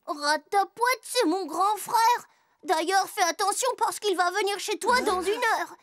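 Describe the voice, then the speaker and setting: A performer voicing a gentle, earnest little ghost child in a children's cartoon, with expressive, drawn-out exclamations. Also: dry recording, studio quality. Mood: eager. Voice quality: very high-pitched, childlike. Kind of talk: animated children's cartoon acting. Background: children's music.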